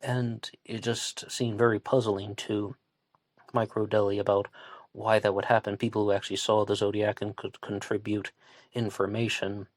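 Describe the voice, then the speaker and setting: A man's voice: lowish voice, little pitch movement, normal speed, dry recording. A man from West Virginia with a faint West Virginian accent, hosting a true crime podcast and talking it through casually, hedging and restarting as he thinks aloud. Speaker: podcast host.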